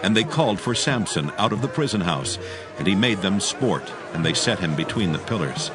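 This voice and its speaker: Male narrator for dramatized scripture: solemn, measured storytelling in a lower register over clear music. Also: lively intonation, crowd noise.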